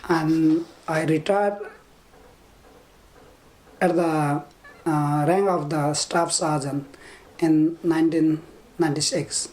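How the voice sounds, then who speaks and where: Man, fifties, Nepali accent, very slow pace, halting and measured, oral history interviewee. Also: a little high, a little mellow, slight background noise, poor audio. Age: 50s. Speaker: interviewee, army veteran. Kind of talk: oral history interview, recounting own life.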